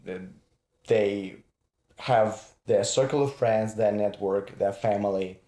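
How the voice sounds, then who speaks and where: A young man in his twenties with a lower-pitched voice speaks thoughtfully, pausing often with ums. His voice carries a roomy echo. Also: dark tone. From Russia. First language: Russian. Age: 20s. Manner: reflective, hesitant, many ums. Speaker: actor guest. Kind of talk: casual group chat.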